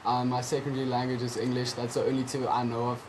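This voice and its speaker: A man's voice: mid-range voice, monotone, fast, a little mellow, a little hiss or hum, rough recording. Teenage South African guy, Afrikaans native. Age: teens. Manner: hesitant, lots of ums, friendly. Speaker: guest, junior tennis player. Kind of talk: casual interview answers. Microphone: video call.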